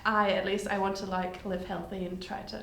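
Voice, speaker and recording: A woman's voice: mid-range voice, flat delivery, fairly quick, creaky. A woman in her twenties from Germany, chatting casually as a podcast guest with lots of fillers. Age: 20s. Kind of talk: casual chat. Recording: echoey room with faint noise.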